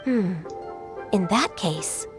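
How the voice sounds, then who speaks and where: A woman voicing a gentle, diligent young secretary and mentor in a video game, polite, composed and formal. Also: medium pitch, animated, drawn-out words. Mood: calm, helpful. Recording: music playing behind the voice.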